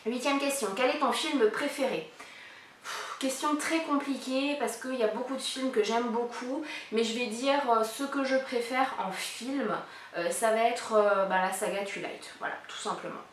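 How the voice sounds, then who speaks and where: Woman in her 30s, vlogger; chatty, filler-heavy, higher voice, echoey room, mic at a distance. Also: normal speed, a little hiss or hum. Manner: chatty, many fillers, lively question reading. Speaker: YouTuber presenting a collab channel. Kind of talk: casual vlog, answering a tag.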